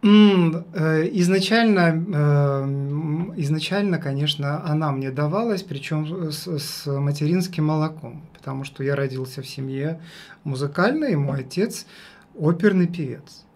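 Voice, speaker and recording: A man with a high tenor voice, talking casually as an interview guest, haltingly and repeating his phrases for emphasis. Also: darkish tone. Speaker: guest, singer and vocal teacher. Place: small radio studio. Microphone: studio condenser microphone.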